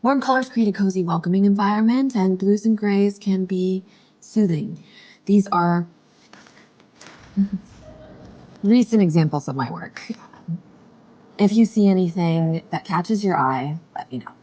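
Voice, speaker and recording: An actress playing a nervous, chatty, kind-hearted young interior designer in an audio series, rambling and apologetic. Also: female voice, mid-range voice, measured pace, pauses often, warm tone, muffled recording, natural voice. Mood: flustered. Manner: rambling, self-correcting, apologetic.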